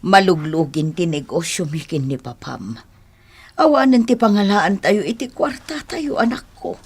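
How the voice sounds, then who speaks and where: A caring middle-aged Filipino mother in a radio drama. Her voice is low and husky, rising and falling expressively with concern. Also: expressive, measured pace, darkish tone, full character voice. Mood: worried. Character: gentle caring middle-aged mother worried about money. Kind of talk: radio drama acting in Ilocano.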